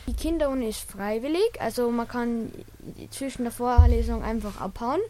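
A woman's voice: a little high, moderate pace, mellow. A female speaker, explaining informally and hesitantly in an interview.

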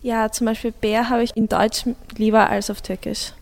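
A woman's voice: a little high, flat delivery, moderate pace, a little mellow. A teenage girl, answering casually and a bit hesitantly on a school radio show. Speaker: student.

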